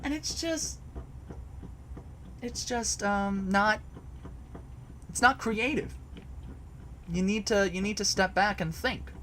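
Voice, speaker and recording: A man's voice: high-pitched, slow, long pauses, fairly bright tone, slight background noise. A man casually explaining a topic to a live chat from a home basement recording area, hesitant, with many fillers and restarts. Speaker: host answering chat.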